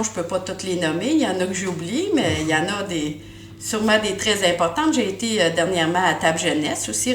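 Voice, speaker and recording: A middle-aged woman with a Québécois French accent answers interview questions as a school board candidate, earnest and often restarting her words with "euh" fillers. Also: fairly low pitch. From Quebec, Canada. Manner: earnest, repeats words while searching, many "euh" fillers. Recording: echoey room.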